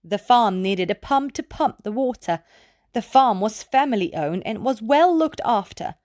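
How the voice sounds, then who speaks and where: A woman reads poetry aloud for children at a measured, deliberate pace of phrasing. Her pitch sits in an ordinary female register but moves up and down in an animated way. The words are clearly enunciated, and the sound is close and dry. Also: dry recording. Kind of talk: scripted read-aloud poetry narration.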